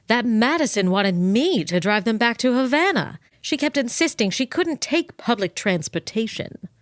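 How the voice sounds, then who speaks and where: An actress playing a nervous, conniving dame in a noir comedy radio drama. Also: female voice, a little high, expressive, normal speed, no echo, clean studio sound, lightly acted. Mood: urgent. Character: anxious, scheming noir femme fatale.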